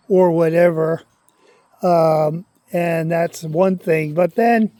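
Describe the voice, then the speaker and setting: Guy rambling on, kinda high voice, dry close sound. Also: warm tone, no echo. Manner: rambling, conversational, stops to read aloud. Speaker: channel host. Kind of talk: casual talk to camera, reading aloud.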